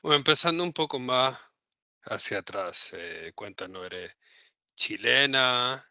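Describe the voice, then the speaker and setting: A man in his forties hosting a podcast interview, unhurried with hesitant fillers. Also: medium pitch, animated, sharp tone, no room sound. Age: 40s. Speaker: podcast host. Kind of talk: interviewing a guest. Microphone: video call.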